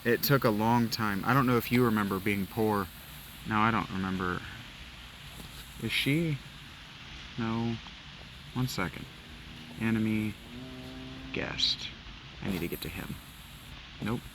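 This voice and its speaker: A man's voice: fairly low pitch, unhurried, long pauses, noisy background. A man from Ohio giving casual, rambling commentary while playing a video game, reminiscing and swearing casually. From Ohio, USA. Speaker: let's play gamer.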